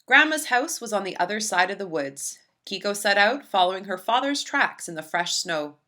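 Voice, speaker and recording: A woman narrates a children's story with expressive care. Her voice is bright and moves up and down in an animated way, at a middle pitch. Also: moderate pace. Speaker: librarian reading a picture book aloud.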